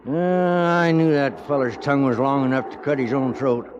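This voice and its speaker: An actor playing a plain-spoken, chatty frontier sidekick with an American accent in an old western radio drama, folksy, wry and blunt. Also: male voice, medium pitch, moderate pace, lightly acted. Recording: muffled, noisy old radio recording.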